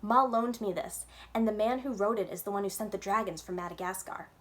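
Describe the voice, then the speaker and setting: A woman's voice: mid-range voice, a little fast, lightly acted. An earnest young boy, read aloud by a woman. He speaks plainly and a little wearily, as the hero of a children's storybook.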